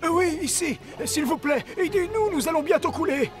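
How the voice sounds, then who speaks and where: A man's voice: very high-pitched, normal speed. A man voicing a loyal, anxious royal coachman in a cartoon, fretful, formal and melodramatic. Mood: panicked. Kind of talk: French cartoon dubbing. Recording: music behind the voice.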